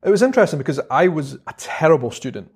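A young man with a Scottish Edinburgh accent, a guest in an interview, talking about his past in a relaxed, halting way with many pauses and restarts. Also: fairly high pitch, breathy edge. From Scotland. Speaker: guest, vlogger. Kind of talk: casual interview chat.

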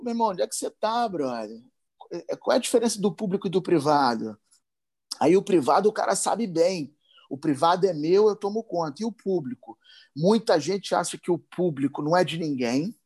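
Emphatic Carioca man, slightly high voice. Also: a little mellow. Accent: Carioca Portuguese. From Brazil. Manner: emphatic, rising and speeding up when worked up, slangy asides. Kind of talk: impassioned talk.

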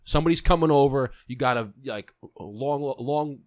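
A man's voice: mid-range voice, moderate pace, a little mellow, no room sound, clean studio sound. A man hosting a podcast, telling rambling stories in casual chat. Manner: rambling storyteller, laughs often. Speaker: podcast host. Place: podcast studio. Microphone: studio microphone.

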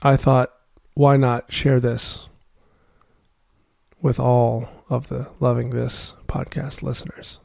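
A podcast host in a loose, giggly, rambling chat while mildly high. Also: little pitch movement, slow pace, gravelly, a little mellow. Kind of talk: casual chat.

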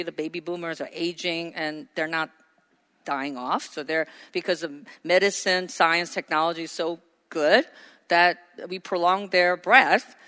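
A woman's voice: fairly low pitch, bright, forceful tone. A woman pastor preaching a sermon, earnest and asking her congregation questions. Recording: hall reverb.

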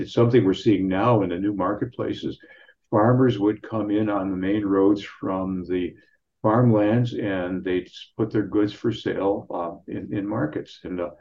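Low, mellow American man, muffled audio. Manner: halting, digressive storyteller. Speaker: history professor, radio guest. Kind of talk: informal spoken history explanation. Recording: dull, slightly muffled audio.

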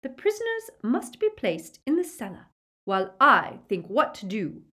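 A woman voicing an unhinged, imperious villainous witch in an audiobook, sharp and commanding. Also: on the high side, wildly swooping pitch, normal speed, pitched above the performer's own voice, full character voice. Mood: anxious, urgent.

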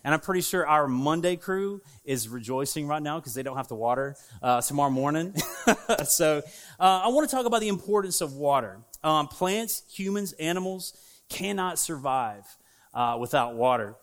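A man's voice: fairly high pitch, lively intonation, moderate pace. Southern-accented man preaching casually. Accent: Southern American. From United States. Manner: casual, conversational preaching with fillers and asides. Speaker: pastor. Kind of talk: sermon. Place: church sanctuary.

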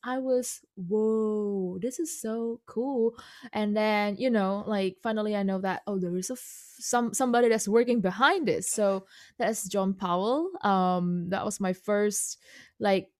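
A woman whose first language is Indonesian, a composer guest speaking English in a casual interview, halting with many fillers and restarts. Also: mid-range voice, a little mellow, no room sound, studio quality.

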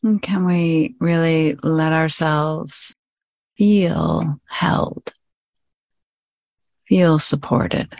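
A woman leading a guided meditation, gently inviting the listener in short phrases with long pauses between them. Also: deep voice, expressive, creaky, no echo, studio quality. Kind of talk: guided meditation, slow spoken instruction.

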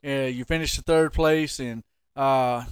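Smooth-voiced man hosting a podcast. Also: mid-range voice, fairly even intonation, unhurried, no echo, clean studio sound. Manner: teasing, ribbing. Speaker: podcast host. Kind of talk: casual sports podcast chat.

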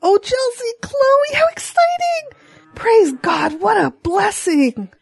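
A woman voicing an excitable, motherly female farm pig in a children's audio drama, gushing and dramatic. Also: very high-pitched, slow pace, gravelly, no echo, faint music behind, full character voice. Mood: excited.

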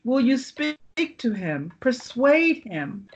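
A desperate aristocratic mother read by a woman pleads through tears, a bit higher and louder than the narration. The recording is lo-fi. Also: very animated, slow, heavily acted. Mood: pleading. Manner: tearful, begging.

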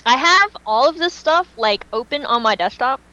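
A woman's voice: high-pitched, animated, normal speed, sharp tone, highs cut off. A woman co-hosting a fan podcast in casual, unscripted chat, speaking emphatically and repeating phrases for dramatic effect. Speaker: podcast co-host and fan fiction writer.

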